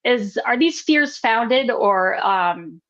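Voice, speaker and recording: A woman hosting a local politics podcast, interviewing a candidate in a measured way, hedging with fillers. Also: fairly high pitch, expressive, a bit bright, highs cut off. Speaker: podcast host.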